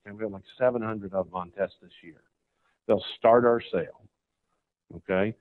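A man's voice: steady tone, moderate pace. American man, folksy and persuasive, presenting at a conference, thin phone-like sound. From United States. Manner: folksy, persuasive, asks "okay?" often. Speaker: conference speaker, cattle breeder. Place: conference hall stage. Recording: tinny, phone-like low-fi recording.

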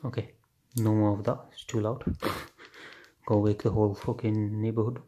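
A man's voice: fairly low pitch, level tone, normal speed, dark tone. A man casually unboxing and trying out a gadget, thinking aloud and swearing offhandedly, recorded on a phone at home at night. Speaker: unboxing video host. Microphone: phone camera filming hands on a table.

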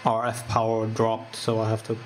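A man giving a casual, unscripted electronics demonstration, halting and informal as he thinks aloud. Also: on the low side, monotone, slight background noise. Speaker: host of a DIY electronics channel. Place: indoors at a wooden workbench.

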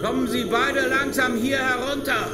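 An actor playing a stern investigator in a horror audio drama, urgently demanding answers in an accusing tone. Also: male voice, extremely high pitch, fairly even intonation, normal speed, loud music behind the voice. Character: stern male investigator confronting a killer.

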